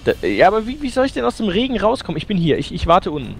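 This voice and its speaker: A young man playing a video game with a friend, chatting casually and swinging between mumbling and excited yelling. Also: high-pitched, very fast, vocal fry, slight background noise. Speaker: let's play host. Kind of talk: casual co-op gaming chat.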